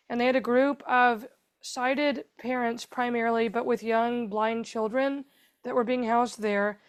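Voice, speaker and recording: A woman's voice: fairly high pitch, clean tone. A woman guest on a podcast interview, telling her story in a chatty, rambling way with lots of fillers. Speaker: guest, foundation founder.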